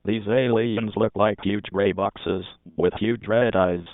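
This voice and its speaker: A man reading a scripted emergency alert in a flat, formal, mechanical manner as an announcer. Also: fairly low pitch, slow pace, no room sound. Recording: tinny, phone-like alert broadcast audio.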